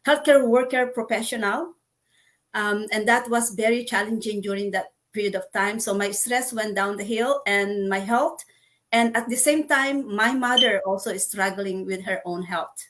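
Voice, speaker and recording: A woman from the Philippines hosting a video-call chat, speaking earnestly and haltingly with frequent fillers. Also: mid-range voice, fairly bright tone. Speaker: host. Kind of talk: casual chat.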